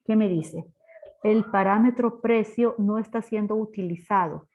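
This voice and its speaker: A woman's voice: medium pitch, measured pace, a little mellow. Woman teaching programming, dull lo-fi audio. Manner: didactic, asks rhetorical questions. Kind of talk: live class explanation, step by step. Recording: dull lo-fi online class audio.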